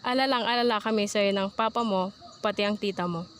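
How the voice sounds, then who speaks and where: A woman's voice: medium pitch, a little fast, a little hiss or hum, close to an everyday voice. A woman playing a worried, scolding but loving mother in an amateur student radio drama. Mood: tender.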